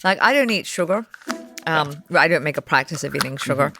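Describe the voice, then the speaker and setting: A woman with a notably low voice speaks casually as an investor. She is effusive and exclamatory in how she reacts. Faint music sits behind her. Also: expressive, fairly quick. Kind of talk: casual conversation.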